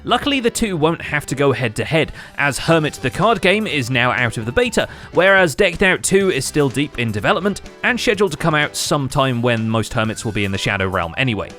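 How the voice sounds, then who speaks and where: A man's voice: a little high, fairly bright tone. A man hosting a gaming recap show, reading scripted narration in a wry manner.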